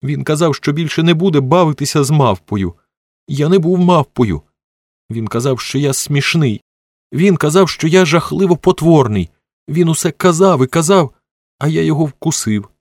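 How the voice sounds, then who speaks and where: A man's voice: medium pitch, sing-song, normal speed, vocal fry, few pauses, no echo, voice pushed up. A man voicing a frightened, hurt little boy in an audiobook, with a trembling, plaintive delivery. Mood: tearful, hurt. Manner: plaintive, childlike, repetitive.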